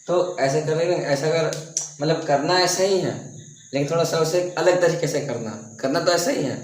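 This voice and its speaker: A young man teaching math on a board, speaking softly with a sore throat, coughing and restarting his sentences. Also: a little high, normal speed. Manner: repeats and restarts himself, coughs now and then. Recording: echoey room.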